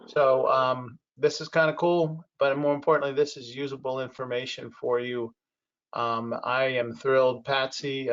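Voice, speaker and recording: A man opening a webinar with housekeeping announcements, relaxed and full of fillers. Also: medium pitch. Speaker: webinar host. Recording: dull lo-fi web call audio.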